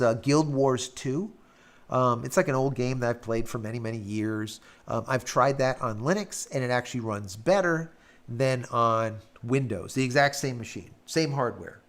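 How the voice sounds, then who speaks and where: Raspy gravelly guy rambling through chat comments on his stream, lots of ums. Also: medium pitch. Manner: rambling, filler-heavy, hums while scrolling. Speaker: live stream host. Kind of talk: casual live-stream chat reading viewer comments.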